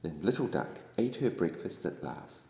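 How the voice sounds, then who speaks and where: A man reads a children's bedtime story in a gentle, expressive way. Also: deep voice, moderate pace, creaky. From New Zealand. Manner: gentle, expressive, addressing young listeners. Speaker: storybook reader. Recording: echoey room with long reverb.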